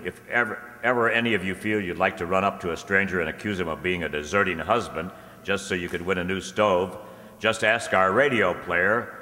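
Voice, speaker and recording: A man's voice: low-pitched, steady tone, a little fast, bright, forceful tone. A man working as a show announcer, reading scripted closing credits. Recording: echoey hall, audience and clear background noise.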